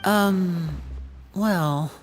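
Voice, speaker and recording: A woman voicing a polite, smooth-talking young male stage magician in a video game, courteous and personable. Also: on the low side, extremely slow, over loud music. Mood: deferential.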